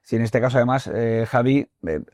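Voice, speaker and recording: A man's voice: medium pitch, a little fast, a little mellow, dry recording, clean studio sound. A man hosting a podcast, asking informal questions that often trail off mid-sentence. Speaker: podcast host. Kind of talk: casual interview questions.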